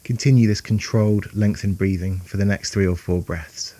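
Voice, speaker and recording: Deep, mellow male meditation guide. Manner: calm, soothing, unhurried delivery with long pauses.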